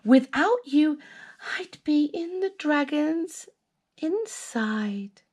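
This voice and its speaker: A woman voicing an excitable storybook witch, exclaiming dramatically. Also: high voice, extremely slow, pitched above the performer's own voice, heavily acted. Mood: grateful. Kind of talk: storybook character voice.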